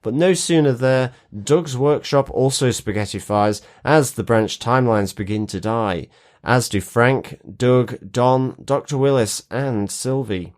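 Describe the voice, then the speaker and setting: A man's voice: medium pitch, unhurried, a little mellow. Man co-hosting a TV recap podcast. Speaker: podcast co-host.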